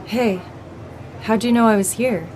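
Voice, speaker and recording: An actress playing Kelsey, a relaxed, teasing young barista and friend, in an audio drama. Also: female voice, on the high side, measured pace, noisy background, close to an everyday voice.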